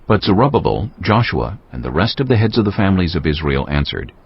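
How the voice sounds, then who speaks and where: A man narrating scripture from a script, as a measured, solemn storyteller in a dramatized production. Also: low-pitched, very animated, slightly rough. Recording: slightly dull with faint background noise.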